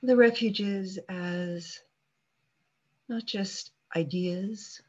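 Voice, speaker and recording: A woman meditation teacher leading a guided meditation calmly and unhurriedly, leaving long pauses between short phrases. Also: mid-range voice, breathy edge, highs cut off.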